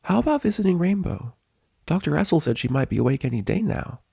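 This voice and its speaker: A man voicing a young baby dragon in a story reading, gentle, hesitant and worried. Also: a little high, big pitch swings, fast, gravelly, breathy edge, no room sound, clean studio sound, pitched above the performer's own voice, heavily acted. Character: young male baby dragon assistant, concerned and timid.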